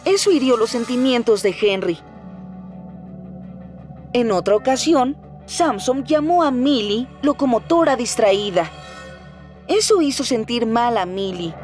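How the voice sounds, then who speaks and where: Young boy steam engine, high-pitched, unhurried, eager cartoon hero, Latin American Spanish. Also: expressive, darkish tone, faint music behind. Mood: earnest storytelling. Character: eager, cheerful young male steam locomotive. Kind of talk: dubbed children's cartoon acting and narration.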